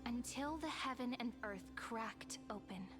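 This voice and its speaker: Woman voicing a soft, teasing young storyteller. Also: fairly high pitch, a little slow, lightly acted. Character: gentle, playful young woman companion and storyteller. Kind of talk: scripted game narration and dialogue. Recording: game audio with loud music behind.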